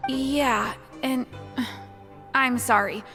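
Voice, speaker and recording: Slow, timid library keeper. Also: female voice, high voice, fairly even intonation, pushed and bright, soft background music, heavily acted. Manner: hesitant, apologetic, halting. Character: timid, withdrawn female bookshelf keeper. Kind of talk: video game voice acting. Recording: long reverberant hall.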